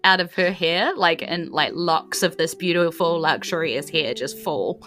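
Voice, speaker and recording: Bright-voiced New Zealand woman telling a story. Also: lowish voice, measured pace. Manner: informal, chatty storytelling. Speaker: game master. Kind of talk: improvised tabletop game narration.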